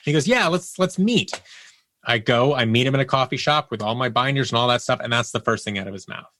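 A man's voice: mid-range voice, steady tone, racing, sharp tone, slight background noise. A man chatting as an interview guest, telling anecdotes emphatically and voicing the dialogue of people he quotes. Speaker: podcast guest, audio producer.

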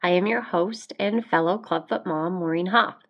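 A woman's voice: lowish voice, normal speed, lo-fi sound. A woman with an American accent, hosting a conversational podcast interview. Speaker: podcast host.

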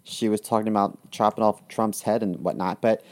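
A man's voice: on the low side, steady tone, a little fast, darkish tone. A man co-hosting a comedy podcast, telling crude jokes and laughing often in casual chat. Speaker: podcast co-host.

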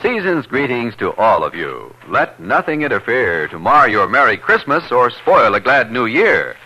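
A man's voice: medium pitch, sing-song, moderate pace, sharp tone, dull audio. A man announcing in a polished, declamatory 1950s radio style, reading scripted narration and sponsor messages.

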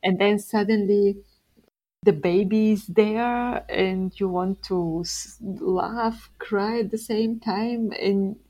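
A young woman from Bulgaria with a Bulgarian accent, a guest in a casual interview, telling things in an unhurried way and laughing often. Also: medium pitch, fairly even intonation, dark tone, poor audio.

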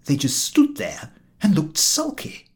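A man's voice: fairly high pitch, wildly swooping pitch, a little slow, darkish tone, pitched above the performer's own voice, exaggerated voice. A man voicing a baffled, indignant Englishman airing a comic grievance in an audiobook, in an exclamatory, aggrieved way. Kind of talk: audiobook character dialogue.

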